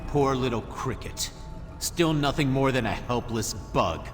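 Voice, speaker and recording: A man's voice: medium pitch, animated, measured pace, lightly acted. An actor playing a cruel male villain in a game, speaking in a taunting, contemptuous way. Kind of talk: game cutscene voice acting. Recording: long reverb with music behind.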